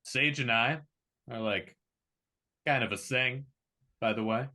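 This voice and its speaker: A man's voice: medium pitch, takes it slowly, pushed and bright. A man reading the part of an awkward, privileged young heir in a drama table read, talking in a casual, rambling way.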